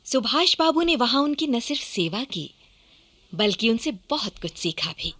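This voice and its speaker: A woman's voice: on the high side, sing-song, measured pace, fairly bright tone. A woman narrating a historical radio play in a scripted, dramatic style.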